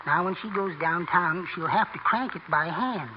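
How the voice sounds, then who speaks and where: An actor playing a meek, henpecked American husband, mild and prim but slyly vengeful, in a 1940s radio comedy. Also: male voice, sits high, moderate pace, close to an everyday voice. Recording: noisy, muffled 1940s radio recording.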